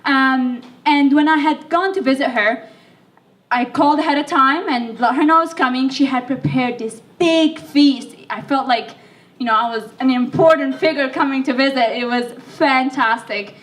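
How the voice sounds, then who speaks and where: A woman's voice: sits high, moderate pace. A young woman from Libya, who is sick, giving a chatty, self-deprecating speech to an audience, with plenty of fillers. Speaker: speaker. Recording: voice from far off the mic.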